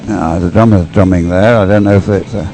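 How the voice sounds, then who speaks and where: A man's voice: deep voice, fairly even intonation, a little fast, warm tone, soft background music. A man giving live sports commentary in a hesitant, conversational, loosely phrased way. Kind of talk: live commentary on dragon boat racing.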